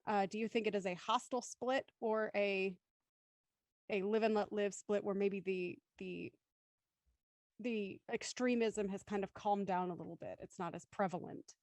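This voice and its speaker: A woman's voice: mid-range voice, fairly even intonation, normal speed, a little mellow, no echo. Thoughtful woman moderating, close dry sound. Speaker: discussion moderator. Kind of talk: panel discussion, posing questions.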